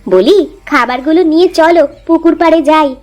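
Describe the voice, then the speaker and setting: A woman voicing a lively, bossy, competitive village wife in a cartoon. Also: high-pitched, normal speed, natural voice. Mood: excited. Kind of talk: cartoon voice acting.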